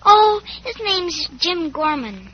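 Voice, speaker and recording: Tiny polite little girl, super high innocent voice, old hissy radio drama. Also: very animated, slow, heavily acted. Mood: earnest, innocent. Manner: childlike, sweet, earnest. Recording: muffled old radio recording.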